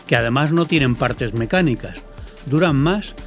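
Didactic Spanish man explaining over soft music. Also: medium pitch, lively intonation, normal speed. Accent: Spain. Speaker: co-host commentator, explainer. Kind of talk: scripted explanatory banter.

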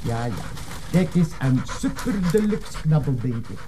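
An actor playing a courteous, gentle and hesitant Flemish police colleague in naturalistic television drama. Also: male voice, fairly high pitch, very animated, a little slow, noisy background. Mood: warm, generous.

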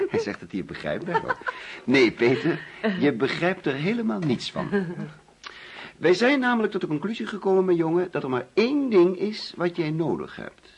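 An actor playing an overbearing, jovial middle-aged father in a Dutch radio play, theatrical and teasing, with deliberate pauses. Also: sits high, huge pitch swings, breathy edge, heavily acted. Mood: teasing, grandiose. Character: overbearing, jovial father hosting an uneasy birthday party.

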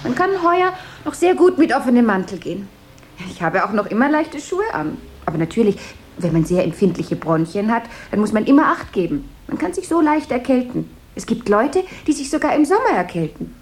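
A woman's voice: fairly high pitch, big pitch swings, fairly quick. A woman playing a chatty, flighty, deferential secretary in a radio play, rambling on and eager to placate. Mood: chatty, placating. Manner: rambling, babbling small talk, eager to placate. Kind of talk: 1970s German radio play acting. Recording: noisy 1970s radio drama recording.

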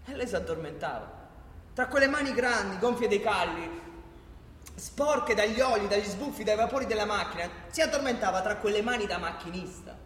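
High-pitched Roman storyteller, a man, echoey. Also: slight background noise. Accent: Roman Italian. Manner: expressive, colloquial, dramatic pauses. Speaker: narrator. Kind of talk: theatrical storytelling for radio drama. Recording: echoey hall with long reverb.

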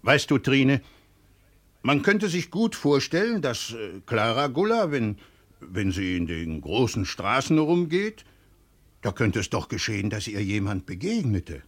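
An actor playing a loyal, kindly village family friend in a German radio play, hesitant and musing. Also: male voice, mid-range voice, very animated, unhurried, fairly bright tone. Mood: musing, coaxing.

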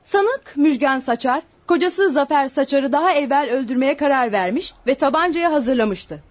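Accusing prosecutor, high female voice, formal scornful declamation, radio drama, dull lo-fi sound. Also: animated, moderate pace. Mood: solemn accusation.

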